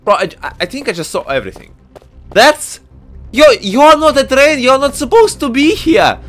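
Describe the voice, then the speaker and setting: Excitable male gamer with wildly swinging pitch. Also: moderate pace, faint music behind. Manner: exclamatory, stammering, slangy. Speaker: gaming video host. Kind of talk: excited gameplay commentary.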